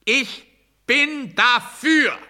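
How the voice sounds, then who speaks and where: An actor playing a pompous authoritarian demagogue in a satirical radio play, giving a declamatory, bureaucratic and commanding speech. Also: male voice, very high-pitched, extremely slow, sharp tone, full character voice. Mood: loud, fervent. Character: pompous authoritarian male demagogue drilling the masses.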